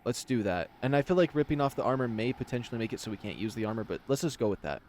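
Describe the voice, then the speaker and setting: A man's voice: medium pitch, expressive, rapid-fire, a little mellow, slight background noise. A man narrating a visual novel aloud as a let's player, switching between story reading and casual commentary. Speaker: video game let's player.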